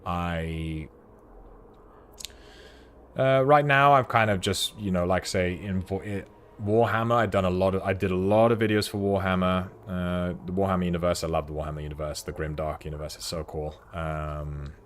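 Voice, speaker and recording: A low-voiced British man talks to his stream chat while he plays, rambling and friendly. Faint hiss sits under his desk mic. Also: steady tone, moderate pace, a bit bright. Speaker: game streamer. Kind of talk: casual livestream chat while playing.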